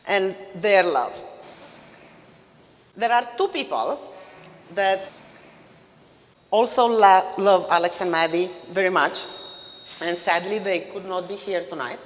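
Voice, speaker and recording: A woman in her fifties from Romania with a Romanian accent, giving a mother-of-the-groom wedding toast in a loud voice, laughing between lines. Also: medium pitch, slight background noise. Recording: reverberant hall.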